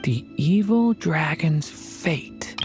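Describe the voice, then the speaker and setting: A man playing a gentle, earnest young dragon boy in a video game, thoughtful and hesitant. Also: a little high, animated, extremely slow, faint music behind. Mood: pensive, worried. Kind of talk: video game character dialogue.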